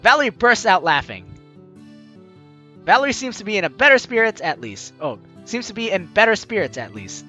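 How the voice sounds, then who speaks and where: A man's voice: high voice, very animated, a little fast, pushed and bright, dull audio, soft background music. A man hosting a let's play, reading game text aloud and adding jokey, theatrical, exclamatory commentary. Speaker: gaming video host.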